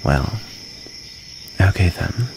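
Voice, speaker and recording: A man playing a shy, wounded, gentle young outcast vampire in an ASMR comfort roleplay, speaking in a halting, soft-hearted way. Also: low voice, expressive, gravelly, heavily acted. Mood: hesitant, confiding. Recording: noisy, cricket ambience behind.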